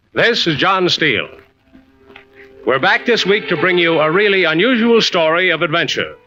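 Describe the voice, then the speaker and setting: An actor playing a worldly, courteous adventurer who introduces tales as host and narrator of a 1940s radio show. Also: male voice, a little high, natural voice. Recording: muffled vintage radio recording, music behind.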